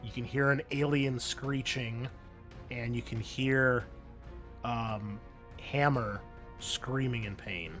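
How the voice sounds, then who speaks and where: A man's voice: mid-range voice, fairly even intonation, slow pace, fairly bright tone. A man working as game master, narrating and refereeing a tabletop roleplaying game in an improvised, conversational way. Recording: background music under the voice.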